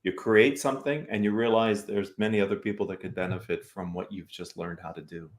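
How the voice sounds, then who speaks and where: A man's voice: lowish voice, fairly even intonation, brisk. A man with an American accent hosting a conversational business interview, speaking in an affirming, complimentary way to his guests. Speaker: talk show host.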